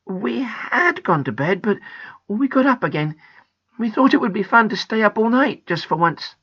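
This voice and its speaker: A woman voicing an excitable, easily alarmed young boy in a children's storybook, urgent and exclamatory. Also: medium pitch, moderate pace, husky, highs cut off, pitched above the performer's own voice. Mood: sheepish.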